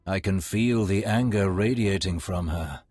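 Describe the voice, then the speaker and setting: An actor playing a dutiful Imperial Sith subordinate in a video game, with formal, measured wording and a husky voice. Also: male voice, low voice, a little mellow, no room sound, clean studio sound. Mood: measured, wary. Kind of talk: video game cutscene acting.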